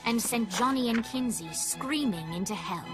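A woman's voice: on the high side, expressive, normal speed, natural voice. A woman playing a prim storybook narrator in a video game. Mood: arch. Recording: loud music behind the narration.

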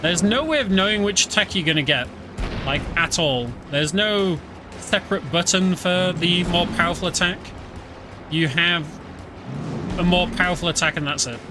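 A man's voice: sits high, moderate pace. A British man giving casual let's-play commentary as a streamer, chatty and explaining the game with plenty of fillers. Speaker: streamer playing a video game. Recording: game music clearly audible underneath.

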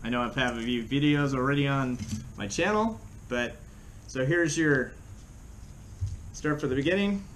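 A middle-aged American man giving a casual how-to demonstration in a plain-spoken, halting way, recorded indoors by a camera across a table. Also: mid-range voice, fairly bright tone, rough recording. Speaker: fishing channel host. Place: small room at home, indoors. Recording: clear background room noise.

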